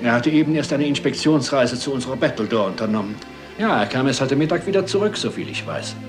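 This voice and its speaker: An actor playing a courteous, dithering bishop who chairs meetings in a German crime radio play, formal and hesitant. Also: male voice, medium pitch, big pitch swings, fast talker, over music, close to an everyday voice. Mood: subdued.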